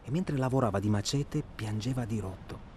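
A man's voice: mid-range voice, brisk, a little mellow, lightly acted. Actor as bookish, insistent man over noisy ambience. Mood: hushed, reflective. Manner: bookish, insistent, talks at length. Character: well-spoken, self-absorbed male hunting traveller, obsessively confiding. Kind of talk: naturalistic radio drama dialogue. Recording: clear ambient noise behind.

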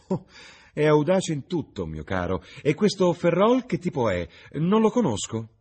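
Teasing elderly high-society lady played by a male reader, theatrical and exclamatory, pitched up, very animated storybook delivery. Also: normal speed, breathy edge, full character voice. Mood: scandalized, amused. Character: lively, teasing old society lady, gushing hostess.

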